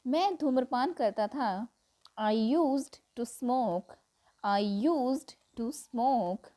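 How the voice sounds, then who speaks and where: A woman with an Indian accent reading out example sentences as an English teacher, in a deliberate, repetitive drill style. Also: a little high, a little mellow. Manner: deliberate, repeating drill delivery. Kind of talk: scripted language lesson, reading example sentences.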